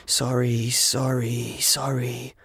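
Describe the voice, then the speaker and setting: A man's voice: mid-range voice, flat delivery, takes it slowly, creaky, a bit bright, slightly rough, no room sound, exaggerated voice. An anguished, lovestruck boy in a storybook, acted out by a man. He is melodramatic and full of remorse, hanging on his words with intense feeling. The sound is close and dry. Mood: whispered remorse. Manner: melodramatic, often whispering.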